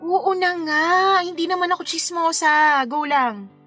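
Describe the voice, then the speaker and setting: A woman's voice: extremely high pitch, measured pace, bright, forceful tone, faint music behind, heavily acted. An actress playing a sassy, playful career woman in a Filipino radio drama, teasing and exclamatory as a concerned confidante. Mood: shocked, curious.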